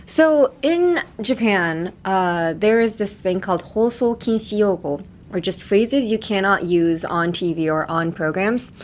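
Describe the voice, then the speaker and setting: A woman's voice: medium pitch, animated, a little slow, few pauses, slight background noise. A woman hosting a casual language-lesson podcast, talking in a chatty, rambling way with tangents. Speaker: podcast host teaching phrases.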